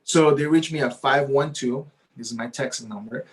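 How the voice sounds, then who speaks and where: A man's voice: mid-range voice, moderate pace. A man whose first language is Spanish, a realtor talking eagerly in a casual interview and often tagging his points with "right?". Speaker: realtor guest.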